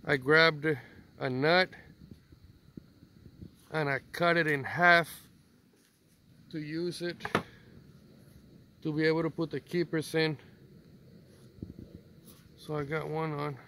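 A fairly high-voiced man talks casually, explaining each step as he works. He is filming on a phone outdoors in a work yard, with clear outdoor noise behind him. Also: fairly even intonation, takes it slowly, pauses often, bright, forceful tone. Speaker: mechanic. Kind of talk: casual how-to narration. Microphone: phone camera.

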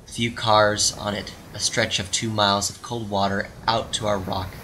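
A man's voice: on the low side, level tone, normal speed, noisy background. A man giving a dramatic scripted narration of a story as the narrator of an audio drama.

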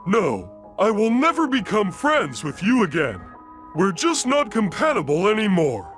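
Brief: man playing a grumpy cartoon starfish guy, high-pitched and cold, voice jumps all over, faint music behind. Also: a little slow, heavily acted. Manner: flat, cold and scolding. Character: grumpy adult male starfish, fed up. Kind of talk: fan storytelling.

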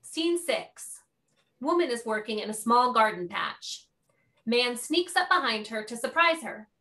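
A woman's voice: on the high side, very animated, unhurried, bright, forceful tone. A woman narrator reading stage directions as scripted narration.

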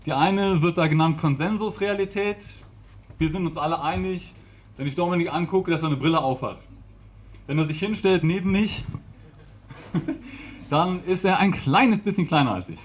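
A man's voice: fairly high pitch, moderate pace, long pauses, a little hiss or hum. Chatty man with a handheld mic. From Rhineland, Germany. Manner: chatty, laughs often, gestures. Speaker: facilitator giving a talk. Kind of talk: informal live talk. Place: small brick-walled event room with audience.

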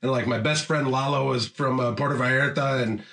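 A man's voice: medium pitch, steady tone, brisk, a bit bright, roomy sound. A man co-hosting a casual chat, laid-back and joking, from the American Midwest. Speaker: podcast co-host. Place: room with a group at a table.

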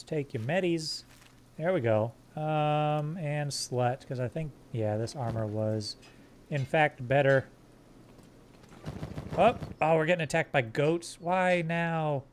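A man with a slightly high, fairly monotone voice talks through a live game stream. He jokes crudely with chat while fiddling with his squad's gear. Faint game ambience hums underneath. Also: takes it slowly, slight background noise. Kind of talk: live gameplay commentary.

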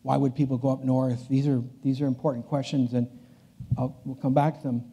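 Older male keynote speaker, deep mellow timbre, casual lecture delivery that circles back on its phrases. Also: level tone, brisk, husky, lo-fi sound. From Canada. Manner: conversational, restarts phrases, walks the stage. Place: large conference hall stage.

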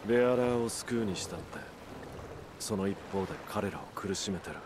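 An actor playing a resolute, brooding outlaw leader in a video game, speaking earnestly and with measured gravity. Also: male voice, lowish voice, noisy background, natural voice. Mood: solemn, resolute. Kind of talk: Japanese video game cutscene acting.

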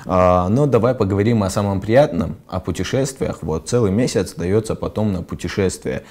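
Deep-voiced young male TV host. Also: moderate pace, a little mellow. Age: young adult. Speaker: morning show host. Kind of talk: TV studio interview. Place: TV studio. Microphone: lapel.

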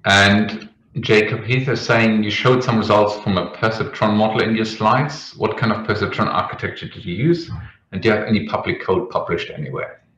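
A man's voice: lowish voice, moderate pace, a bit bright, muffled recording, a little hiss or hum. A man in his forties hosting a video-call Q&A from an office, apologetic and reading out audience questions. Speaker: forum host. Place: office with whiteboard. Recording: echoey video-call audio.

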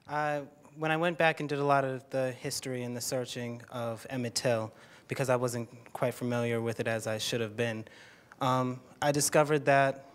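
A young American man answers a question on stage in a medium-pitched voice. He is reflective and hesitant, piecing his thoughts together as he goes. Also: smooth. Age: 20s. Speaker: actor answering on a panel. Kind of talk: thoughtful spoken answer to an audience. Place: auditorium stage. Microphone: handheld stage microphone.

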